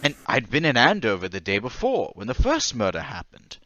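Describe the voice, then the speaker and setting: A man's voice: mid-range voice, huge pitch swings, fairly quick, pushed and bright, highs cut off. An actor playing a timid, troubled stocking salesman in an old-time radio drama, hesitant, stammering and deferentially polite. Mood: anxious, confessional.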